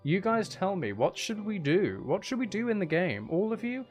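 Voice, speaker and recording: Animated, playful man streaming games. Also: sits high, moderate pace, soft background music. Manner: playful mock-dramatic, self-deprecating. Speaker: streamer hosting a live game stream. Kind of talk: casual chatty gaming livestream talk with viewers.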